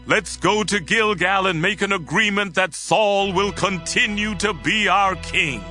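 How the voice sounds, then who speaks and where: An actor playing an emphatic, rousing Israelite prophet in an audio Bible drama. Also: male voice, high voice, a little slow, sharp tone, faint music behind, full character voice.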